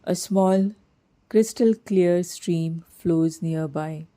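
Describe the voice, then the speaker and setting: A woman leads a guided meditation in a soft, mellow voice on the lower side. She speaks very slowly and soothingly, reading scripted lines with gentle, measured phrasing.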